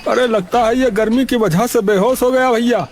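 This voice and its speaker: A man's voice: extremely high pitch, normal speed. A man voicing a caring, bossy uncle in a cartoon story, fussing and repeating "arre" again and again. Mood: worried. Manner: fussy, repeating "arre" over and over. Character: caring middle-aged uncle, worried and bossy. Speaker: uncle of the boy. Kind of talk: cartoon voice acting.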